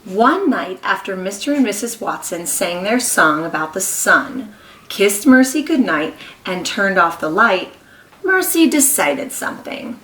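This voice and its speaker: A woman kindergarten teacher reading a story aloud to young children, expressive and encouraging, pausing to ask them questions. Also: medium pitch, far from the mic. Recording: echoey room.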